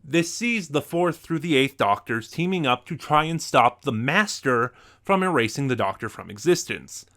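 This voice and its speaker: A man's voice: mid-range voice, expressive, moderate pace, few pauses, sharp tone. Man giving a review commentary. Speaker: reviewer, video host. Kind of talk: semi-scripted review commentary to viewers.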